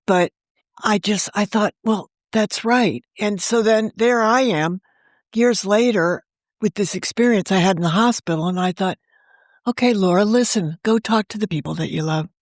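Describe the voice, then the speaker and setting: A woman being interviewed on a podcast, telling a personal story in a warm, fond and gently reflective way. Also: medium pitch, normal speed, no echo, studio quality. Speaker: guest, novelist and podcast creator. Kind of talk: conversational storytelling.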